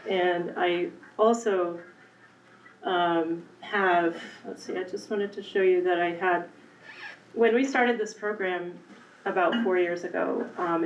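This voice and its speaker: A woman's voice: lowish voice, a little hiss or hum. A woman elementary Spanish teacher presenting conversationally at a school committee meeting, with frequent ums. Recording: echoey room, distant, muffled.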